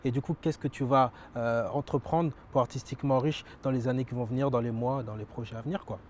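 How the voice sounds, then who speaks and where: A man's voice: mid-range voice, fairly even intonation, quick pace, a little mellow, a little hiss or hum. A man interviewing a guest, asking questions and encouraging him with short acknowledgments.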